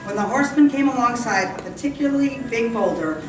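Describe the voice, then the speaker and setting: A woman's voice: fairly high pitch, animated, brisk, music in the background, close to an everyday voice. A woman reading scripted narration for an old-time radio western drama. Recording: echoey room from far off.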